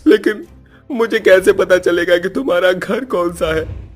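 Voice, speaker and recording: A man's voice: sits high, a little slow, soft background music, pitched above the performer's own voice. A man voicing a frightened, pleading young man in a horror cartoon, with a strained, dramatic delivery.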